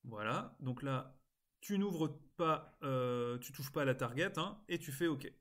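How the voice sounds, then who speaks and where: A man with a mid-pitched voice walks through a software tutorial. His delivery is informal and instructional, moving step by step and dropping in small interjections as he goes, like someone showing a friend what to do on screen. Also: lively intonation, unhurried. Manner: casual, step-by-step explaining with frequent interjections. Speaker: tutorial presenter. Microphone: screen-recording voice-over.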